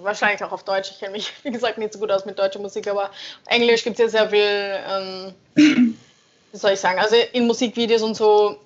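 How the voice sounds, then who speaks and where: A woman's voice: mid-range voice, fairly even intonation, brisk. A young woman songwriter answering interview questions casually, hesitant and searching for words, with frequent laughs. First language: German. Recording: dull lo-fi sound.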